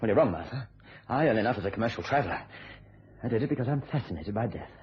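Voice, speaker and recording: A man's voice: on the low side, expressive, fast, husky. An actor playing a bitter, unhinged middle-aged British hangman in an overwrought, intense radio thriller style. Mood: agitated, bitter. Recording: dull lo-fi radio drama recording.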